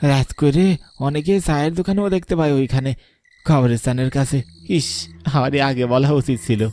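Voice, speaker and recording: A man's voice: mid-range voice, fairly even intonation, brisk, warm tone, close to an everyday voice. A man playing a concerned, superstitious villager in a horror cartoon, earnest and cautioning, with a rural colloquial Bengali accent.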